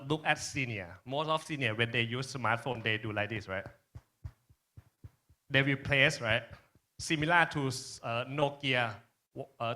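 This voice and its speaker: Male conference speaker, Thai accent, forceful bright tone, halting informal delivery that keeps checking in with listeners. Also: mid-range voice. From Thailand. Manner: halting, frequent tag questions "right?". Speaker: conference speaker, social enterprise presenter. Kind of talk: informal presentation and panel answer.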